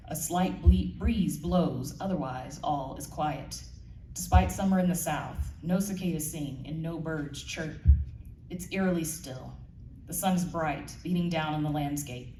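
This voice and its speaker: Woman narrating a drama script live, low voice, echoey room, bit of noise. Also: mellow. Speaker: author reading her audio drama script. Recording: echoey room, distant mic, faint noise.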